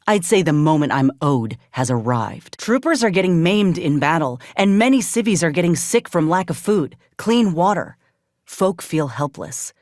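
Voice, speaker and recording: A woman's voice: fairly low pitch, a little mellow, no echo, clean studio sound. An actress playing a determined, scrappy soldier woman in a video game, with blunt, folksy frontier speech. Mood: proud, determined. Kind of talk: video game character dialogue.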